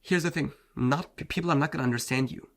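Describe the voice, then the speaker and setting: A man's voice: on the high side, quick pace, creaky. A man whose first language is Turkish, a self-improvement coach giving a blunt, slangy motivational talk, often saying "bro" and "like". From Turkey.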